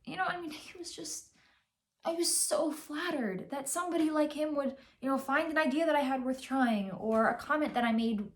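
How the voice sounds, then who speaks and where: Young woman acting as a halting, confessional divorcing actress. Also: high-pitched, voice pushed up, natural voice. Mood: emotional, earnest. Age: young adult. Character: wistful, candid divorcing actress confiding in her lawyer. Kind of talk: naturalistic dramatic film monologue.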